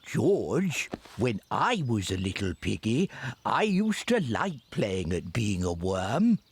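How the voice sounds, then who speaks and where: An older man voicing a kindly, playful grandpa pig in a children's cartoon, with a gentle, chuckling manner. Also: fairly low pitch, big pitch swings, slow pace, husky, heavily acted. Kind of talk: cartoon voice acting.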